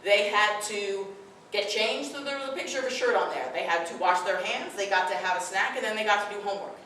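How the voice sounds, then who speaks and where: A woman's voice: medium pitch, a little fast, a bit bright, slight background noise. A middle-aged woman with a strong voice speaking firmly and directly to a live audience at a parenting workshop. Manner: firm, direct, conversational. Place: room with audience. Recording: echoey room from far off.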